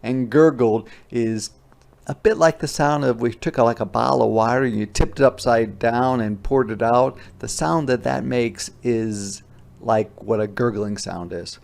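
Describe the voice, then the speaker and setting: A man reading a storybook aloud as a teacher, expressively, pausing to explain words simply for children. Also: mid-range voice, gravelly, darkish tone.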